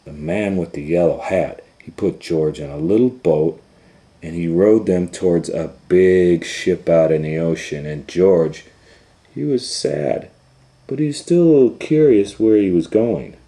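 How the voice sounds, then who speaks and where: Male storytime reader, deep and relaxed, informal and hesitant, explaining things gently to young listeners. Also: measured pace.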